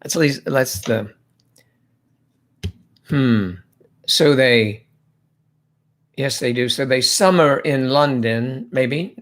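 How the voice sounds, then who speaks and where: A man's voice: mid-range voice, slow pace, pauses often, a bit bright. A man hosting a casual livestream, rambling and chatting with his guests and reading viewer comments aloud. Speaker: livestream host.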